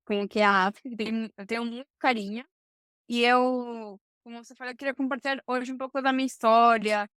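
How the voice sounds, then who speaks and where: High, clear woman. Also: fairly bright tone, no room sound, clean studio sound. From Argentina, Buenos Aires. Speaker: podcast guest, supply chain professional. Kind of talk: conversational interview answers.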